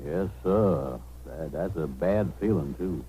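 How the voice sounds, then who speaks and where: An actor playing a blustery, grumbling, slow-witted taxicab driver with folksy ungrammatical speech in a 1930s radio comedy. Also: male voice, rumbling low, warm tone. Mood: sheepish. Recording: noisy 1930s radio broadcast.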